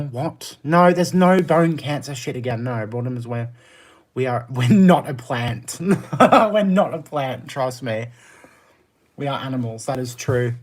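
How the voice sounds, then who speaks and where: A man with an Australian accent chatting casually on a livestream, answering viewer comments in a blunt, exasperated, emphatic way. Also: medium pitch. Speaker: live streamer.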